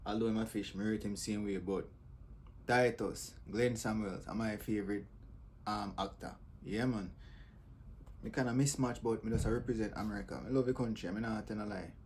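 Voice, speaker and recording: A man's voice: on the low side, fairly even intonation, moderate pace, a little mellow, slight background noise. A man with a Jamaican accent hosting casual, joking reaction commentary. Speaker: host reacting to comedy clips.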